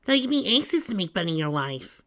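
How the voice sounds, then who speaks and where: A man's voice: sits high, very animated, fairly quick, sharp tone, pitched above the performer's own voice, full character voice. A man voicing an eager, teasing young boy fox sidekick in an amateur story reading. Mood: playful, excitable.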